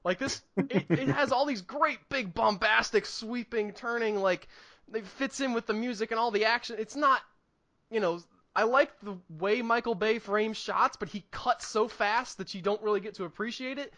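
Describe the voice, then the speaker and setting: A man's voice: sits high, normal speed, bright, forceful tone. A man co-hosting a film review podcast, chatting casually in an enthusiastic, emphatic way and rambling as thoughts come. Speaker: podcast co-host reviewing films. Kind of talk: casual movie review chat.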